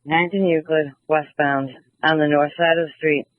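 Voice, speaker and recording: A man's voice: fairly high pitch, level tone, moderate pace, no echo. A man working as a police radio dispatcher, speaking in clipped procedural phrases and repeating key details over a radio. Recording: tinny, band-limited police scanner feed.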